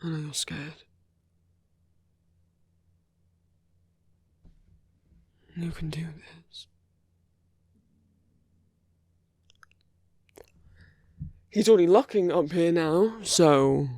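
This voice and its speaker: A man playing a protective, quietly possessive villain in an intimate ASMR roleplay, speaking in a hushed, halting, deliberate way with murmured asides. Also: on the high side, lively intonation, no echo. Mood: protective, coaxing. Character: smooth-talking male villain, protective, coaxing, quietly possessive.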